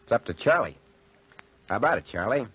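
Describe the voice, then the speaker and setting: Smug, rough-voiced young card cheat. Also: male voice, medium pitch, sing-song, fairly quick, fairly bright tone, heavily acted. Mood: smug, taunting. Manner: taunting, slangy, cocky. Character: smug, crude young male card cheat, mocking and callous. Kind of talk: 1940s radio horror acting. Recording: muffled 1940s radio broadcast recording.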